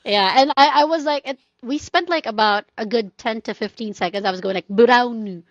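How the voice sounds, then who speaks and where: A woman co-hosting a casual chat podcast, telling animated anecdotes, acting out quoted voices and laughing often. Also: a little high, moderate pace, no echo, muffled recording. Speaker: podcast co-host.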